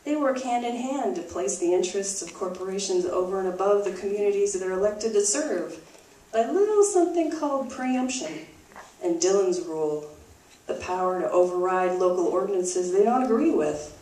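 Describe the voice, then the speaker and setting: A woman's voice: medium pitch, animated, normal speed, dark tone. A woman presenting a scripted live show in a theatrical, conversational storytelling manner. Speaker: puppet show performer and presenter. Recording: roomy, distant mic, faint noise.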